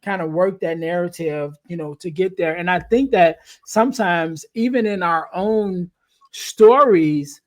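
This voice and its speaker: A man's voice: high-pitched, a little slow. A man in his late forties hosting a podcast interview, talking in a loose, rambling way full of "you know.". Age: 40s. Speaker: podcast host. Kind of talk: casual interview chat.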